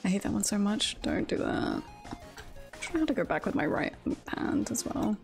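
A woman's voice: medium pitch, sing-song, normal speed, vocal fry. A woman livestreamer giving casual, jokey gameplay commentary, repeating short phrases and swearing now and then. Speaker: livestreamer, VTuber. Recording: game music behind the voice. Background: video game music.

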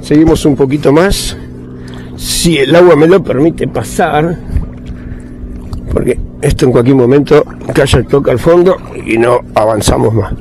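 A man's voice: mid-range voice, measured pace, a little mellow. A man chatting casually to viewers while paddling a kayak on a river, pointing things out as he goes. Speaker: vlogger kayaking. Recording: outdoor camera audio, clear background noise. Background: water and paddling sounds.

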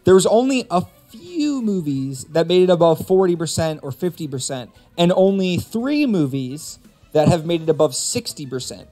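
High-voiced man hosting a casual stream. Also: sing-song, moderate pace, warm tone. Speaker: host of a gaming and movie live stream. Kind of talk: casual opinionated chat. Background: background music.

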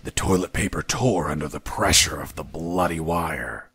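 An actor playing a cocky captured commando pilot in a video game, telling his story in a deadpan, wry way. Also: extremely low pitch, moderate pace, breathy edge, exaggerated voice. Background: background music.

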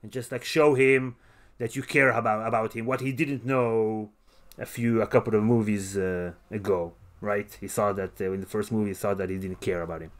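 A man co-hosting a podcast, chatting casually and thinking aloud in a halting way. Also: lowish voice, steady tone. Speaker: podcast co-host. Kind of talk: casual movie discussion podcast.

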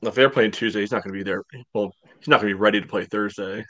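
A man's voice: on the low side, steady tone, fast talker, a bit bright. American man in casual sports talk. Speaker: sports podcast panelist. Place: indoor room, video call.

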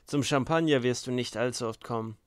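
A man performs a sneering young drinker in a storybook reading, spiteful and boastful. He needles loudly in a medium-pitched voice, recorded close and dry. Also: fairly quick, no room sound, close to an everyday voice. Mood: goading.

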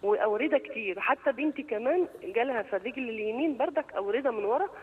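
A woman's voice: fairly high pitch, animated, quick pace, a little hiss or hum. Egyptian woman in her fifties calling in on the phone. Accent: Egyptian Arabic. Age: 50s. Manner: hesitant, polite, listing pains. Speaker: caller. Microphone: telephone. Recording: tinny echoey phone line.

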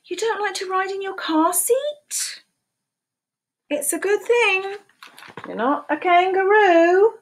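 A woman's voice: extremely high pitch, animated, slow, pauses often. A woman in her sixties reading a picture book aloud to young children in a sing-song storytelling voice, asking them questions, recorded on a webcam at home. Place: small room at home, indoors. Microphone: webcam at desk.

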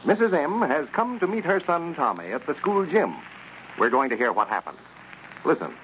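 A man's voice: on the high side, big pitch swings, normal speed, a bit bright. A man announcing in old-time radio style, with a polished, cheerful scripted sales delivery. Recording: muffled, noisy vintage radio recording.

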